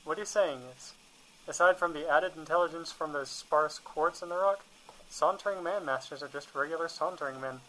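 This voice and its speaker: Man acting as exasperated hunter, forceful bright voice, practical, comedy radio drama. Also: fairly high pitch, normal speed, rough recording. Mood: matter-of-fact.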